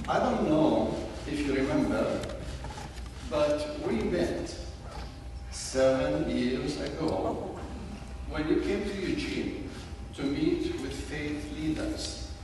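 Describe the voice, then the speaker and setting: The recording is very reverberant, with clear noise in the hall. An older man with a Palestinian accent speaks very slowly in a slightly high voice. He delivers a deliberate public statement, pausing between short phrases. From Jerusalem. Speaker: audience member addressing a senator. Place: large echoing hall at a public town hall. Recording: very echoey hall, distant mic, noisy.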